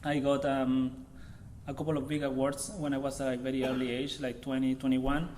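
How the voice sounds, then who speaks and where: A man gives a casual talk to students, at an ordinary pitch for a man. He gropes for words and restarts his sentences, and his phrasing is loose. There is a faint hiss of noise behind his voice. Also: little pitch movement, darkish tone. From Chile. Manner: halting, searching for words, loose non-native phrasing. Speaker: visiting artist giving a talk. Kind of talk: informal artist talk to students. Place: college lecture room.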